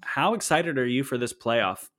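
A man's voice: mid-range voice, lively intonation, normal speed, fairly bright tone. A man hosting a casual sports podcast, opinionated and wry, talking with plenty of fillers. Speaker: podcast host.

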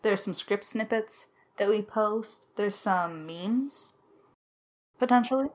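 A woman's voice: mid-range voice, slow. A woman co-hosting a podcast, chatting casually and playfully in an informal Q&A. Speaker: podcast co-host and audio drama writer. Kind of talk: casual Q&A chat.

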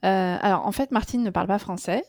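A woman's voice: medium pitch, a little fast, a little mellow, no echo, studio quality. A middle-aged French woman, a guest in a casual interview, talking chattily with many fillers and restarts.

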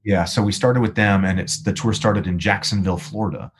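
A man, likely in his thirties, with a baritone voice, telling a long rambling story as a guest in an interview. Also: a little fast, breathy edge. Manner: rambling, animated storyteller with many restarts. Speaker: guest musician.

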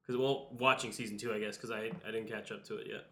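Bright-voiced man, nasal from a cold. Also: fairly low pitch, quick pace, a little hiss or hum. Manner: relaxed, rambling with fillers. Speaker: podcast host. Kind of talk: casual chat.

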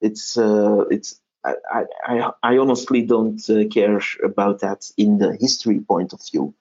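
A man's voice: lowish voice, fairly even intonation, a little slow, mellow, slightly rough, highs cut off. A Belgian man with a Flemish accent, a native Dutch speaker who hosts a history podcast, chatting casually in an interview and often restarting his sentences with fillers. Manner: hesitant, many restarts and fillers.

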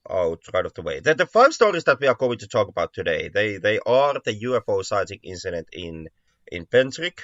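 A man's voice: fairly low pitch, sing-song, fairly quick, a bit bright. A man co-hosting a casual podcast discussion, stammering and often repeating words mid-sentence. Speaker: podcast co-host. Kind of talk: casual discussion of mystery stories. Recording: dull, lo-fi, roomy echo.

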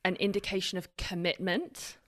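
Guest, woman, 30s, mixed Australian-British accent, lowish voice, candid reflective storyteller. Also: flat delivery, normal speed, a bit bright. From Australia and Britain. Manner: open, reflective storytelling with frequent restarts. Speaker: podcast guest. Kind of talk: conversational interview.

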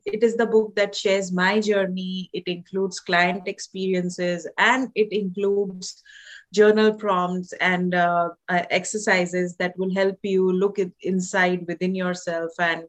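Hesitant Indian-accented woman author. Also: on the low side. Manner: hesitant, many fillers and restarts. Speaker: author and holistic doctor. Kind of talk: podcast interview guest.

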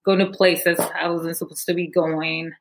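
A woman's voice: on the low side, little pitch movement, a little slow, slight background noise. A young woman from Guatemala, a podcast guest candidly telling her life story with many fillers. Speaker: guest, movement founder. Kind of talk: personal story interview.

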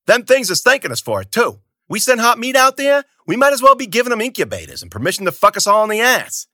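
A man voicing a loud, profane, domineering male camp boss who yells his orders. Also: sits high, wildly swooping pitch, quick pace, no echo, studio quality, pitched above the performer's own voice, exaggerated voice. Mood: yelling angrily.

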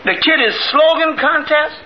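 An actor playing a naive, inquisitive tavern helper with a squeaky voice in a 1950s radio comedy. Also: male voice, moderate pace. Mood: astonished. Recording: noisy, muffled 1950s radio recording.

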